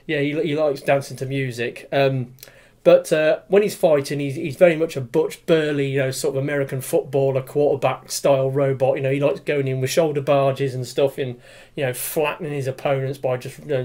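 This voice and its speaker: A man's voice: medium pitch, darkish tone. A man, casually reviewing a toy in a small room, rambling with lots of fillers and restarts. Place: small room with display cabinet, indoors.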